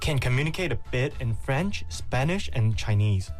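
A man's voice: mid-range voice, lively intonation, moderate pace. A young man in his twenties with a Korean accent, a student answering interview questions earnestly and thoughtfully. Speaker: guest, university student. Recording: faint background music.

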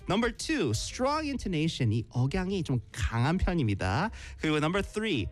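영어와 한국어를 오가며 활기차게 이야기하는 여자 라디오 진행자. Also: female voice, sits low, slow, soft background music. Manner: switches between English and Korean, lively. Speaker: radio host. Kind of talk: bilingual radio chat.

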